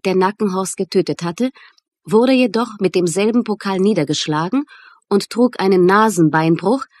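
A woman acting as a businesslike newspaper journalist with a medium-pitched voice. She dictates copy crisply and matter-of-factly, as in a radio crime drama, in a very dry studio sound. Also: a little mellow, dry recording, lightly acted. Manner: matter-of-fact dictation, clearly articulated.

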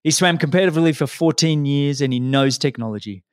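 A man's voice: on the high side, quick pace, no room sound, clean studio sound. A man hosting his podcast, chatting in a friendly, casual way and often repeating words as he stumbles. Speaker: podcast host. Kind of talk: casual interview chat.

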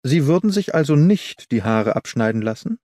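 A man voicing an eager, politely firm middle-aged English gentleman in an audiobook, formal and persuasive. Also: medium pitch, very animated, moderate pace, no echo, studio quality, close to an everyday voice. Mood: politely insistent.

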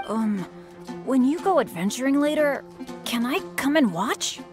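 A woman voicing an unlucky, self-effacing teenage boy adventurer in a video game, earnest and hesitant, quick to get excited. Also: high-pitched. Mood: bashful, apologetic. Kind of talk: English video game character dialogue. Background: game music.

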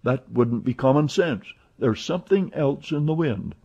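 A man voicing an excitable, chatty young French manservant who talks eagerly and protests often, in a dull lo-fi recording. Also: medium pitch, husky, voice pushed up. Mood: excited. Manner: eager, exclamatory, quick to protest.

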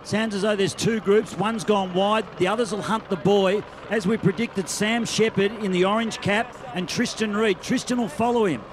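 A man's voice: sits high, moderate pace, few pauses, background noise. A man giving live, chatty race commentary outdoors at a beach event. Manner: chatty, excitable.

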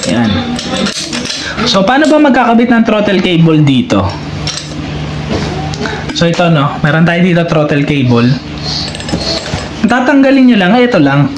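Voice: medium pitch, sing-song, measured pace, pauses often, music in the background. A Filipino vlogger of unclear sex giving a casual, step-by-step hands-on tutorial while working at a table. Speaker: DIY motorcycle vlogger. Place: indoor room at a tabletop. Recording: roomy, very noisy with clinking parts. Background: loud noise and clinking of handled metal parts.

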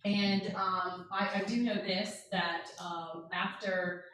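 A woman's voice: mid-range voice, flat delivery, takes it slowly, a bit bright. An American woman co-presenting an informal talk, sharing family memories and acting out little remarks as she goes. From Connecticut, USA. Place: echoey indoor presentation room. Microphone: far room camera or microphone. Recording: very echoey room, distant mic, audience noise.

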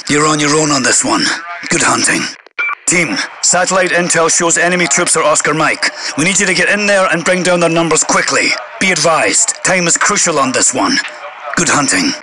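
British major general for military game: stern, clipped, commanding, slightly high-pitched, radio-filtered with static. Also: male voice. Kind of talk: video game voice-over, military radio announcements. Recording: radio crackle and heavy noise.

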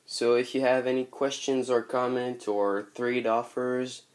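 French-canadian guy showing his model planes, talks real slow, lots of ums, mid-pitched voice. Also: level tone. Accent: Quebec French. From Montreal. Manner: hesitant, many ums and uhs. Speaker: model airplane collector. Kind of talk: casual show-and-tell vlog. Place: bedroom with model airport table, indoors.

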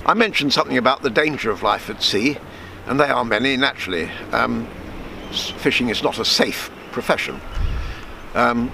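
A man in his seventies reading a written true story aloud in a measured way, now and then halting over words. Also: mid-range voice, creaky, poor audio. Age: 70s. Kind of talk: reading aloud a written memoir, with spoken introduction. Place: outdoors on a seafront. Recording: wind and sea noise on microphone.

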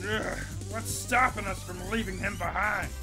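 A woman's voice: mid-range voice, normal speed, music in the background, natural voice, rough recording. A woman voicing a grumpy, bossy male crocodile in a cartoon fan dub, blustering and grumbling.

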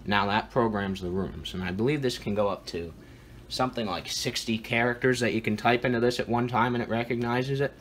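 A man's voice: medium pitch, fairly even intonation, fairly quick, a bit bright, a little hiss or hum. A man giving an informal, matter-of-fact demonstration of equipment as a video host, recorded indoors at home.

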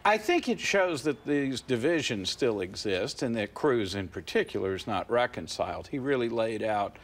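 Measured man analyzing politics on TV. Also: lowish voice. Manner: measured, explanatory, with frequent fillers and restarts. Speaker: poll director, studio guest analyst. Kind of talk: analytical studio interview. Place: TV studio set, seated on a couch. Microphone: lapel mic.

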